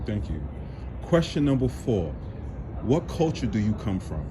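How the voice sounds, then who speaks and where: A man's voice: fairly low pitch, sing-song, unhurried. A male interviewer whose voice moves up and down freely as he offers brief affirmations and announces each numbered question. Traffic noise fills the background.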